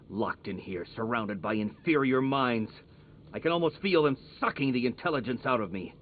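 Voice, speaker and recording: A man's voice: medium pitch, expressive, normal speed, phone-quality sound, slight background noise, lightly acted. Pompous, manic supervillain genius, acted by a man, thin audio. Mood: bitter sneering. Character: arrogant, manic male supervillain genius, petulant and obsessive. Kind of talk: video game villain acting.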